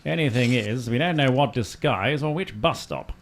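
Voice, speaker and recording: A man's voice: medium pitch, animated, moderate pace, slight background noise, lightly acted. A man playing a hearty upper-class British air ace with a posh English accent, full of clipped old slang. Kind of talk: amateur comic radio play.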